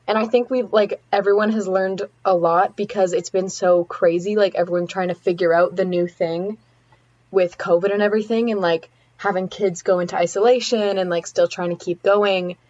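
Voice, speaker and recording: A woman's voice: mid-range voice, steady tone, normal speed, darkish tone, no room sound. Casting note: teen girl student, chatty and earnest, slightly muffled dry audio. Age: teens. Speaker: student guest. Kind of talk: casual interview answer.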